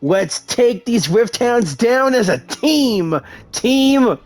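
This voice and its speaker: Bold young game heroine, wry, very animated pitch, unhurried pace, faint music. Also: female voice, full character voice. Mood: rallying.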